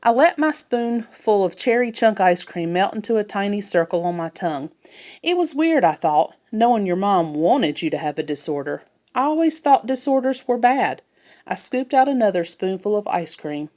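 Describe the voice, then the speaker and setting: A woman's voice: medium pitch, sing-song, moderate pace, a little mellow. A woman reading a children's novel aloud as a first-person narrator.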